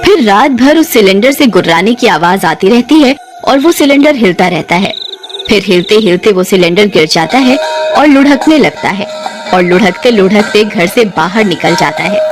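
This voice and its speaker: A woman's voice: medium pitch, animated, normal speed, soft background music. A woman narrating a scripted cartoon ghost story in a dramatic storytelling style.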